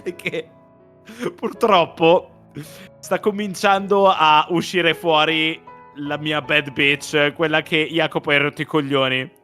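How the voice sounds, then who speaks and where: A man with a fairly high, bright voice banters casually with friends. He sounds animated and theatrically exasperated, with music faintly behind him. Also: slow. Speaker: co-host of a live visual novel reading.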